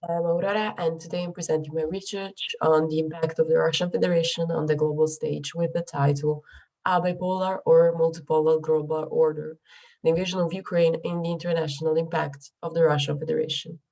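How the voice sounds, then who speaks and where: A woman's voice: sits low, little pitch movement, fairly quick, gravelly, a little mellow, poor audio. A young woman presenting her research, her voice affected by a cold, speaking carefully with frequent ums and restarts. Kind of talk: academic presentation.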